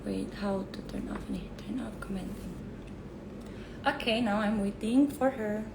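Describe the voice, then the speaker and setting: A woman's voice: medium pitch, unhurried, vocal fry, background noise. A woman in her twenties with an Indonesian accent, hosting a casual live video chat, chatty and giggly. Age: 20s. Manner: giggly, chatty, switches between languages. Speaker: live stream host.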